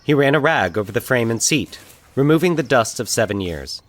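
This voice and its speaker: A man from Colorado with an American accent, narrating a scripted short story in a measured, literary way. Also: medium pitch, expressive, fairly bright tone. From Colorado, United States. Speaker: fiction podcast narrator.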